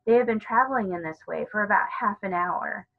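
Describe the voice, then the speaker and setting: A woman's voice: medium pitch, a little fast, gravelly, narrow, tinny audio. A woman narrating a children's novel as a storybook reader.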